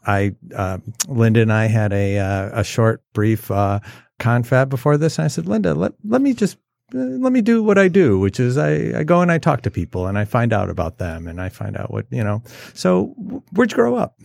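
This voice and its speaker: A man hosting a podcast interview, halting and full of restarts as he asks questions. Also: mid-range voice, big pitch swings, creaky, a little mellow, no room sound, clean studio sound. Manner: halting, many restarts and fillers. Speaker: podcast host. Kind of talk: casual interview.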